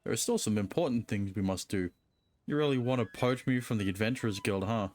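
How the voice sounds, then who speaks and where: A man's voice: lowish voice, fairly quick, a little mellow, soft background music. A man casually commenting on a game as he streams, sometimes reading dialogue aloud.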